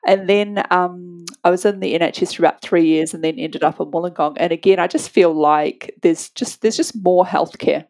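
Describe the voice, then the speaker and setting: A woman's voice: lowish voice, moderate pace, few pauses, a little mellow. A woman, an anaesthetist talking candidly and chattily as a guest in a podcast interview. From New Zealand. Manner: candid and chatty, laughs easily.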